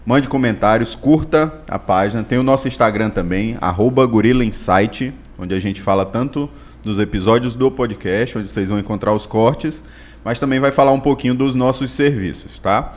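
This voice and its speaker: A Brazilian man hosting a podcast, joking and relaxed as he opens the episode. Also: medium pitch, moderate pace. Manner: playful, joking. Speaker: podcast host. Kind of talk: casual podcast chat.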